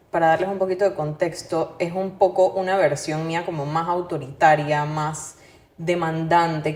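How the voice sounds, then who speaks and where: Woman, lowish voice, relaxed, casual personal reflection, mixes in English words, echoey room. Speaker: podcast co-host.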